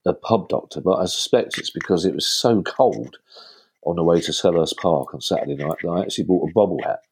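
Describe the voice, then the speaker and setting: A man's voice: deep voice, fairly quick, a little mellow, breathy edge, poor audio. A man hosting a football podcast, sounding bunged up from a cold and chatting in a self-deprecating way. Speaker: podcast host. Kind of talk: casual podcast chat.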